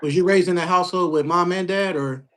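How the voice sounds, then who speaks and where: A man hosting a podcast, casually interviewing a guest with slangy, easygoing talk and frequent shout-outs. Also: high voice, a little fast, a crowd in the background. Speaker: podcast host.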